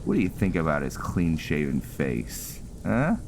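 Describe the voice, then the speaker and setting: A man hosting a gaming video with casual, rambling, halting commentary over the game. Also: rumbling low, huge pitch swings, noisy background. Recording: clear game ambience behind voice. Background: game sounds.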